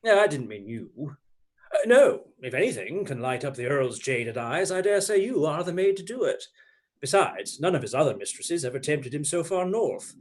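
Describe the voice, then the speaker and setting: A man's voice: on the high side, sing-song, moderate pace. A man playing a bold, teasing, roguish outlaw leader in a radio play, with sardonic courtly manners. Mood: sly, needling. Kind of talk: theatrical radio play acting.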